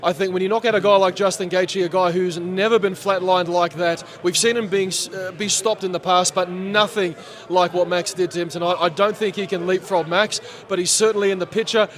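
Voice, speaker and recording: A man, an event MC giving post-fight reactions, animated and chatty and losing his voice. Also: sits high, fairly quick. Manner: animated, chatty, laughs often. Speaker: MC, guest. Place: sports bar at a casino.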